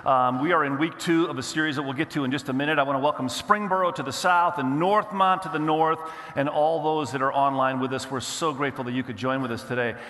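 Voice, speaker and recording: A pastor from Indonesia, whose first language is Indonesian, welcoming a church congregation in upbeat announcements. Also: male voice, medium pitch, steady tone, fast, hardly any pauses. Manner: upbeat, warm announcements with fillers. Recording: reverberant church hall.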